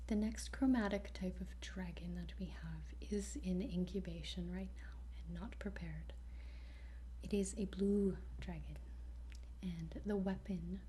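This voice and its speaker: Slow whispering woman in ASMR roleplay. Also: on the low side, steady tone, slight background noise. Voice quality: soft, breathy whisper. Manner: hesitant, pausing between short phrases. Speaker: ASMR roleplayer playing a dragon training guide.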